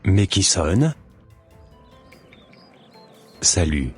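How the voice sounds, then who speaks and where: A man's voice: low voice, very animated, drawn-out words, a little mellow, breathy edge, faint music behind, full character voice. A man voicing an earnest, courteous and easily charmed husband in a scripted cartoon, with formal phrasing. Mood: smitten.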